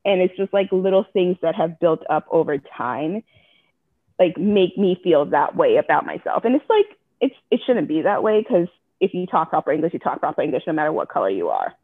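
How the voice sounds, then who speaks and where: A woman's voice: on the low side, very animated, a little fast, no room sound. Frank woman on a tinny line. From Jamaica. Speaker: interview guest. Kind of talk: candid personal interview.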